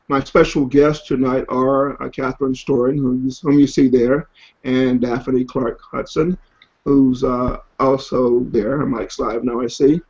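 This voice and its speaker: Male webinar host, informal and halting, ad-libbed, mid-pitched, on dull online audio. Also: creaky, a little mellow, husky. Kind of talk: informal webinar hosting, introducing guests. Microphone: online webinar connection.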